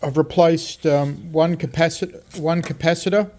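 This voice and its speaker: Halting man explaining radio repairs. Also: a little high, steady tone, background noise. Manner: halting, many ums and self-corrections; technical, hands-on explaining. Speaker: radio restorer. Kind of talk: casual workshop show-and-tell.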